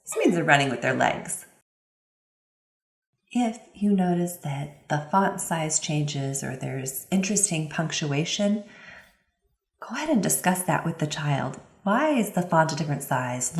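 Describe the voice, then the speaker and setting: Echoey, low-pitched woman giving expressive instruction. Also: a little slow. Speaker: instructor, literacy expert giving advice to parents. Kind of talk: instructional talk with expressive read-aloud examples.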